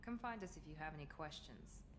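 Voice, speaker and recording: A woman's voice: low-pitched, sing-song, a little fast, background noise. A woman playing a businesslike operative in a video game, assured, formal and matter-of-fact. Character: cool, businesslike female operative briefing her commander. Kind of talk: video game dialogue acting.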